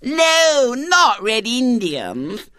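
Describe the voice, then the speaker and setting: A woman's voice: on the high side, very animated, extremely slow, fairly bright tone, no room sound, studio quality, voice pushed up, full character voice. A woman voicing an imperious, wheedling little girl with a sugary sweetness for a storybook. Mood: eager.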